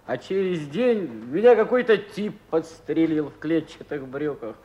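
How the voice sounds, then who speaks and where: Slow, high-voiced embittered soldier played by an actor. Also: male voice, warm tone, highs cut off, natural voice. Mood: pained reflection. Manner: halting, weighty, reflective storytelling. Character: embittered Soviet soldier, pained, remembering the war. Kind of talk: Russian radio play monologue.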